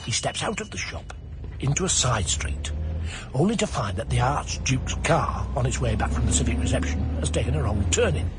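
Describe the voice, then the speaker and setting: Wry rogue narrator for radio comedy, male actor, animated, digressive, sardonic, music underneath. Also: medium pitch, a little fast, close to an everyday voice. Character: wry Czech rogue looking back on the war. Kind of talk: comic storytelling narration in a radio play.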